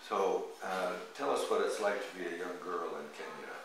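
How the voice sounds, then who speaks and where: A man's voice: low-pitched, moderate pace, creaky, recorded from a distance, noisy background. A man leading a friendly, casual interview, chatty and curious, often restarting his questions. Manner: chatty, repeats words at starts, curious follow-ups. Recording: echoey room, voice off-mic, chatter behind.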